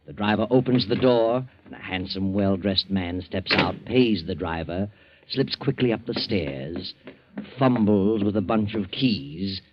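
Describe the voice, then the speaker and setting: A man's voice: low-pitched, measured pace, background noise. A man with an American accent playing a sinister, all-knowing narrator in a 1940s radio mystery, ominous and theatrical. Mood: grave storytelling. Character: sinister all-knowing male narrator who walks by night. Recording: muffled old radio broadcast, music behind.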